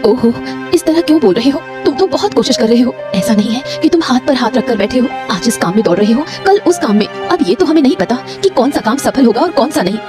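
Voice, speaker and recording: A woman's voice: a little high, lively intonation, fast, few pauses, music in the background, close to an everyday voice. A woman voicing a supportive, hopeful village wife in a dramatic Hindi cartoon story. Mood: reassuring.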